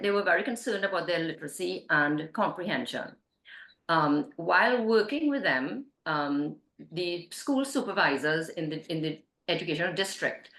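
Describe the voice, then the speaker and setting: Low-voiced woman in an echoey room. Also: fairly bright tone. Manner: hesitant, many fillers and restarts, earnest. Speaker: literacy nonprofit co-founder, guest. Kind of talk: interview answer.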